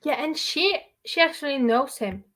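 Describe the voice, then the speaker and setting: Bubbly young Norwegian woman, high voice. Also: expressive, fairly bright tone. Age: young adult. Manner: bubbly and encouraging, quick to laugh. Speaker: host. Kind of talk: casual livestream interview.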